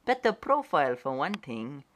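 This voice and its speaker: A woman's voice: low voice, big pitch swings, moderate pace. A woman voicing a laid-back, kindly young male wolf-dog in a cartoon fan dub, easygoing and wry.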